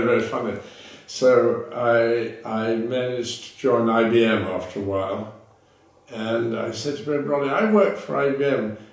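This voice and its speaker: A man's voice: medium pitch, recorded from a distance. An older British man reminisces for an interviewer, wandering between anecdotes, correcting himself as he goes and chuckling now and then. His voice sits a little back from the mic, in a room with a strong echo. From Britain. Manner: rambling, self-correcting, laughs between anecdotes.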